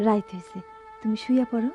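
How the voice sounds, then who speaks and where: An actress playing a caring, self-blaming young daughter in a radio drama, speaking colloquial rural Bangladeshi Bengali. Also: female voice, fairly high pitch, fairly even intonation, fast, dark tone, highs cut off, faint music behind, natural voice. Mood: plaintive.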